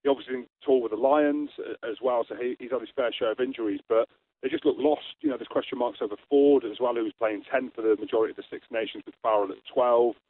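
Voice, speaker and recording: A man's voice: mid-range voice, steady tone, fast. A man with a Scottish accent, a rugby pundit guest giving blunt, forthright opinions in a radio interview. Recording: tinny phone line.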